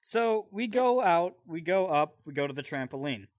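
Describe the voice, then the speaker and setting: A man casually telling a personal story in an animated, sweary way, often wandering off into side details. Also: on the high side, moderate pace, no room sound, clean studio sound. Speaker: storyteller and co-host.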